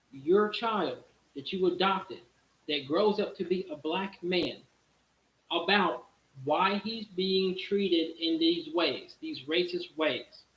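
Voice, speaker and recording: A man with a high, bright voice hosts a casual stream, thinking out loud. He restarts his sentences and keeps posing rhetorical questions. The sound is roomy and echoey. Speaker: streamer host. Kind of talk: casual live-stream talk.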